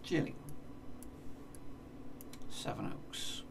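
A man's voice: slow pace, far from the mic, background noise. A man in his thirties with an English accent, commentating on a map-guessing game as he plays, muttering place names and swearing playfully. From England. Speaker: gaming streamer. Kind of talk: casual live game commentary. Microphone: desk mic, seen in webcam corner.

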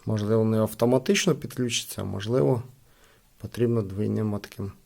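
A man's voice: lowish voice, a little fast, vocal fry, a little mellow. A man hosting a casual product review, talking informally to his viewers as friends. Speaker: gadget unboxing channel host.

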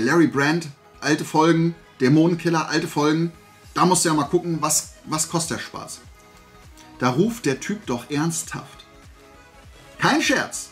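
A man's voice: a little high, a bit bright. A man chats casually to camera as a vlog host, blunt, opinionated and colloquial. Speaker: host of a collecting haul vlog. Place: small indoor room lined with collectibles.

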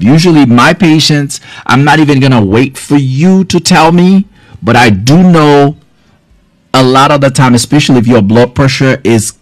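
A man's voice: mid-range voice, no room sound. Earnest man giving health advice, dry sound. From Haiti. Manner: earnest, direct, advising viewers, loose filler words. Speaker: health care provider hosting a live stream. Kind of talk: casual live-stream health advice. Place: small office, indoors. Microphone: studio mic on boom arm, front camera close-up.

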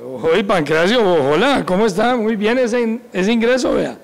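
Man, 40s, police school director, calm instructive tone, fairly high voice, echoey office. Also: normal speed, gravelly. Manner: calm, instructive, laughs easily. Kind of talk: educational segment talk. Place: indoor office with bookshelves. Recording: echoey room.

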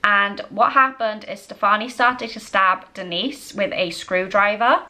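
A woman's voice: medium pitch, unhurried, sharp tone. A woman in her twenties hosting a true-crime vlog, telling the story to camera in a conversational, matter-of-fact way. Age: 20s. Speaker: host. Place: small indoor home room.

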